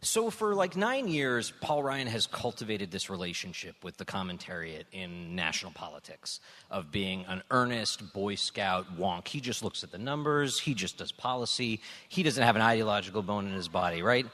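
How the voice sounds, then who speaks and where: Animated, wry man in an echoey hall. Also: lowish voice, moderate pace. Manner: wry, exasperated, acts out mock quotes. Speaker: political journalist panelist. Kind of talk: animated political rant on a panel. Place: stage in a live venue. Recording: echoey hall live recording.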